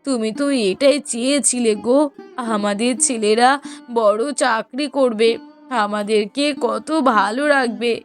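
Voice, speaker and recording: Casting: woman to play a sorrowful aged mother for a moral cartoon, plaintive, drawn-out delivery, longing and weary. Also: fairly high pitch, faint music behind. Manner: slow, plaintive, drawn-out lamenting. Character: grieving elderly widowed mother longing for her late husband. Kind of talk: Bengali moral-story cartoon voice acting.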